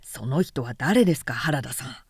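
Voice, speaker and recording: A woman voicing an outspoken, indignant samurai man in a period-novel audiobook, forceful and insistent in formal speech. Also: a bass voice, expressive, moderate pace, slightly rough, dry recording, clean studio sound, voice pushed down, exaggerated voice. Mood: pressing, challenging.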